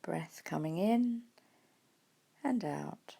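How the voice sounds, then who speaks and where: A woman leading a guided mindfulness meditation in a gentle, unhurried manner with soft pauses. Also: on the low side, lively intonation, gravelly, slightly rough. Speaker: meditation teacher.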